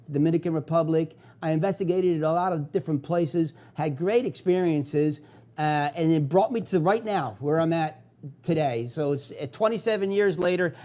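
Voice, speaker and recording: A man's voice: a little high, a little mellow. An older man from South Philadelphia with a Philadelphia accent, hosting a local TV talk show in an enthusiastic, conversational way. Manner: enthusiastic, conversational, with filler uhs. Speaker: host.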